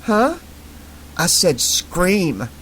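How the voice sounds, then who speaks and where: A teenage girl playing at menace, slow and deliberate with a mock-threatening edge, as a male narrator voices her. A faint hiss lies under it. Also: on the high side, a bit bright. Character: teenage girl accomplice, menacing but giggly.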